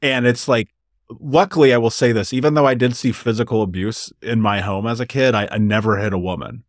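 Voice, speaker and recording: A man's voice: medium pitch, fairly even intonation, brisk, no echo, clean studio sound. A man hosting a podcast interview, earnest and motivational, speaking directly to his listeners. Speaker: podcast host. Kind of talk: conversational interview.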